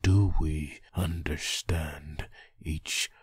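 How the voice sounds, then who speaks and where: A man playing a brooding, menacing undead vigilante in a comic dub, with a raspy voice and slow, grim delivery. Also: steady tone, dry recording, clean studio sound, exaggerated voice. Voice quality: deep, raspy.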